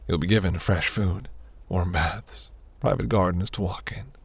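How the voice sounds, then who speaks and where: Man voicing a deep, gravelly, seductive demon prince. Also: fairly even intonation, a little slow, dry recording, exaggerated voice. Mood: tender, possessive. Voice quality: low, gravelly, breathy. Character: seductive, imperious demon prince, smug and possessive. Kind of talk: scripted romantic fantasy audio roleplay.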